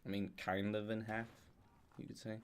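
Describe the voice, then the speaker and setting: Low-voiced man musing over a strategy game. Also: flat delivery, moderate pace, slight background noise. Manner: musing, thinking aloud. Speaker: game commentator on a livestream. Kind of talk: casual live strategy game commentary.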